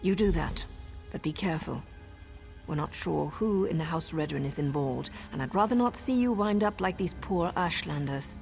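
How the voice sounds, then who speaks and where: An actress playing a pragmatic, principled dark elf assassin in a fantasy video game, sober and matter-of-fact. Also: female voice, deep voice, expressive, normal speed, gravelly, faint music behind. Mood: wary, resolute.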